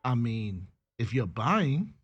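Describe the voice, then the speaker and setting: A man's voice: mid-range voice, very animated, slow, highs cut off, faint music behind. A man playing a cautious, skeptical young man who is exasperated and reluctant, in a cheesy horror audio drama. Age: young adult. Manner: wary, exasperated.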